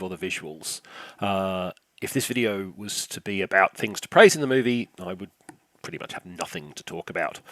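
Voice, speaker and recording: An older man hosting a film review show, a little croaky from illness, speaking with dry sarcasm and frequent filler sounds. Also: fairly low pitch, fairly bright tone, dry recording. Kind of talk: scripted review narration.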